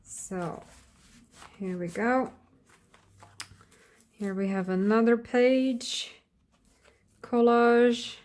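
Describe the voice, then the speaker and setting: A woman whose first language is Slovak, giving an unscripted flip-through of a handmade craft journal in a hesitant manner, often pausing and searching for words. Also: medium pitch, a little mellow. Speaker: craft vlogger.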